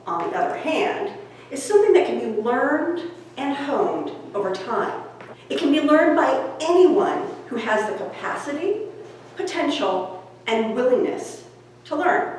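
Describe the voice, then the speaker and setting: Echoey, high-pitched woman reading nonfiction aloud. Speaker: author reading from own book. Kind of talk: scripted audiobook-style reading of nonfiction. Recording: very echoey room, distant mic, background noise.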